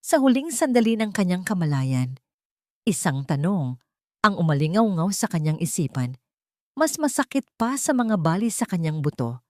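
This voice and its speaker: Female storyteller, lower register, dry close sound, emotional dramatic reading. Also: big pitch swings, moderate pace, a little mellow, dry recording. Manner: emotional, rising for tense moments. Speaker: narrator.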